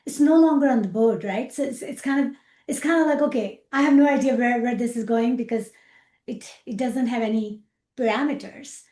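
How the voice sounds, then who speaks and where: A middle-aged woman with a Pakistani accent, an interview guest talking about her work with animated repetitions and fillers. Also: fairly high pitch, brisk, echoey room. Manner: animated, repeats words, frequent fillers, laughs easily. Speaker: rocket scientist guest. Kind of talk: casual interview chat.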